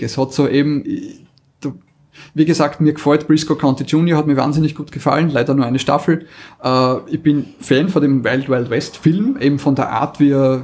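A man's voice: mid-range voice, a little mellow. A man with an Austrian accent chatting casually as a podcast co-host, often reformulating as he goes.

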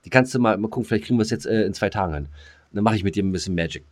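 A man with a very low voice, recorded very dry and close. He talks in a laid-back, slangy way, chatting casually as a podcast co-host. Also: very fast, dry recording. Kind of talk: casual chat.

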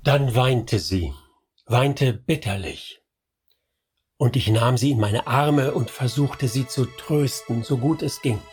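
A man narrating a radio play in a measured storytelling voice. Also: mid-range voice, darkish tone, faint music behind, natural voice.

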